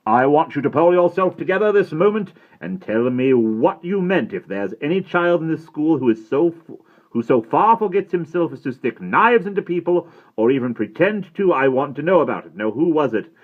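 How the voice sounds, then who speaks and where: Guy playing a gruff strict headmaster grilling a kid, loud and firm, phone-ish sound. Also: medium pitch, big pitch swings, normal speed, phone-quality sound, voice pushed up. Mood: stern questioning. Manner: gruff, commanding. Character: stern male school headmaster, middle-aged.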